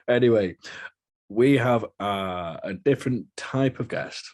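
A man's voice: mid-range voice, slow. A British man hosting a podcast in a chatty, joking, rambling way. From Britain. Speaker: podcast host. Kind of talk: casual podcast chat.

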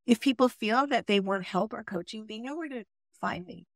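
A woman's voice: a little high, normal speed, dry recording, clean studio sound. A woman, an English pronunciation coach telling stories with enthusiasm in a podcast interview. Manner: enthusiastic, animated storyteller.

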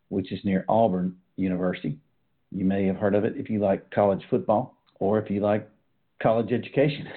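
A man's voice: lowish voice, narrow, tinny audio. A man with a Southern US accent hosting an interview podcast in an easygoing, friendly way. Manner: easygoing, chuckles often. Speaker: podcast host.

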